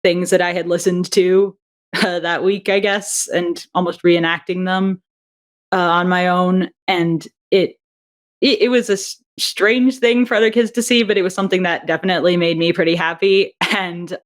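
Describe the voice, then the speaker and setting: A woman being interviewed on a podcast, talking reflectively with frequent laughs and fillers. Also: lowish voice. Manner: warm, reflective, laughs often, many fillers. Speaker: audio drama writer and director, podcast guest. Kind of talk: casual interview answers.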